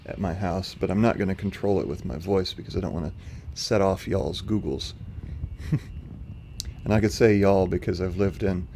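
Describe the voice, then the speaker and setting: A man with a very low, slightly rough voice hosts a live stream from home. He talks in a calm, level tone full of small fillers. A faint hum of noise sits under his voice. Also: moderate pace. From not from North Carolina. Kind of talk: casual livestream commentary answering chat.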